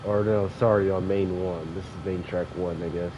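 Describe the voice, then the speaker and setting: A man's voice: low voice, fairly even intonation, normal speed. A young man sniffling from allergies, rambling casually with fillers as he commentates a train sim game. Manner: rambling, loose, with frequent fillers and self-corrections. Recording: dull lo-fi mic with clear background noise.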